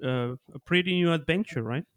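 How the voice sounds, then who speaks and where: A man's voice: a little high, a little fast. A man from Ecuador hosting a podcast, asking questions casually with frequent restarts. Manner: friendly, stumbling restarts. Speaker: podcast host. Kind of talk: casual interview chat.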